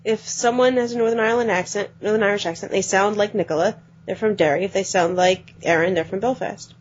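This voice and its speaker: A woman co-hosting a podcast, chatting quickly about video games and often letting her phrases trail off. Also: medium pitch. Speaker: podcast co-host. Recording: dull lo-fi podcast audio.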